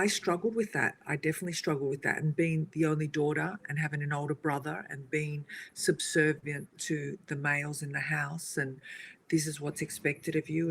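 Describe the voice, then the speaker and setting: A woman, a guest on a casual talk show, telling stories in an animated way with frequent laughter. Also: low voice, creaky, slight background noise. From Australia. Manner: animated storytelling, laughs often. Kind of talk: casual conversation on a video podcast.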